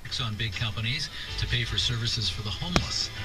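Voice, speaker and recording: A man's voice: lowish voice, a little fast. A man presenting scripted news as a radio host. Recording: car radio re-recorded on a phone. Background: loud music.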